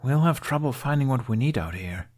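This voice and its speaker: A man's voice: medium pitch, animated, fairly quick, darkish tone, voice pushed up, natural voice. A man voicing a skeptical, complaining young male private in an audiobook. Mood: doubtful. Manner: incredulous, complaining. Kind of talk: audiobook character dialogue.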